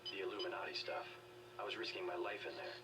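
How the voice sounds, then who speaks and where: An actor playing a stoic, terse, deadpan male government agent in a video game, on a noisy distant capture. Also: low voice, fast talker, natural voice.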